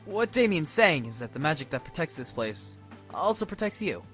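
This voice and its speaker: A man's voice: a little high, big pitch swings, moderate pace, a bit bright, soft background music. A man voicing an earnest young demon in a visual novel, explaining things emphatically and reassuringly.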